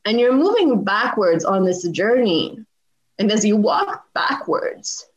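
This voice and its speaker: A woman's voice: medium pitch, very animated, slow pace, highs cut off. A woman in her forties, a podcast guest telling a personal story in conversation and acting out the dialogue with animation. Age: 40s.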